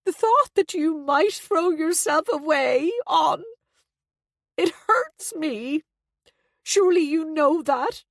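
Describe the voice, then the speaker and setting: A woman's voice: very high-pitched, expressive, extremely slow, darkish tone, no echo, voice pushed up, exaggerated voice. A woman voicing a petulant, self-pitying middle-aged mother in an audiobook, with a wounded, pleading delivery. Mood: tearful self-pity.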